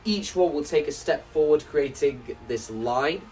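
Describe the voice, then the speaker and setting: A man explaining his staging ideas to camera in a casual, animated way, like a video creator walking viewers through a plan. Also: a little high, measured pace. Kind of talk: casual spoken walkthrough to camera. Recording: voice distant from the mic, music underneath.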